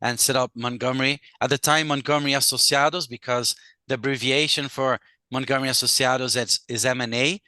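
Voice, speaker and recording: Guest lawyer, middle-aged man, slight Scottish accent, smooth bright tone, measured with many pauses. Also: mid-range voice, steady tone, dry recording. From Brazil. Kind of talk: casual interview talk.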